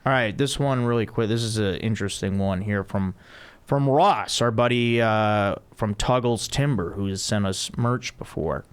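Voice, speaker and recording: A man's voice: fairly low pitch, moderate pace, clean tone. A man hosting a casual podcast, chatting easily and reading a listener's email aloud. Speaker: podcast host. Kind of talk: casual chat.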